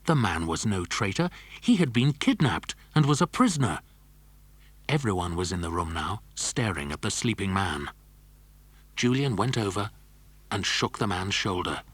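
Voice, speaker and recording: A man narrates a children's adventure story. His voice is low and husky, recorded close and dry. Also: very animated, normal speed, no echo.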